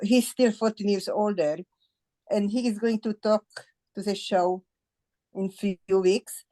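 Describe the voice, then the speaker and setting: Elderly woman, Slovak accent, non-native English, mellow tone, halting survivor testimony. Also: medium pitch. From Bratislava, Slovakia. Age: 80s. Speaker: Holocaust survivor giving testimony. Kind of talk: personal testimony in a group discussion.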